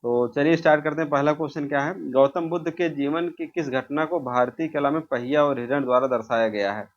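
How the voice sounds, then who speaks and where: A man's voice: fairly high pitch, a little fast. A man giving an informal exam-prep lesson, explaining by asking questions and answering them himself. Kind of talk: informal lesson walking through quiz questions. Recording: dull, muffled lo-fi sound.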